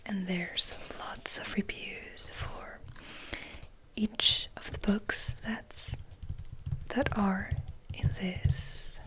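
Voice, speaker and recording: A woman whispering softly and slowly as an ASMR host, in a hushed manner with gentle pauses. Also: medium pitch. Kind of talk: whispered, soft-spoken ASMR. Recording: noticeable background noise.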